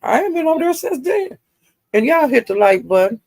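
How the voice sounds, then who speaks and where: An older woman chats off the cuff to her livestream viewers. Her voice sits high and crackles with fry, recorded close and dry. She rambles in a folksy, salty-tongued way. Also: big pitch swings, brisk, a little mellow, dry recording. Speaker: livestream host. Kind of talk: casual chat to live viewers.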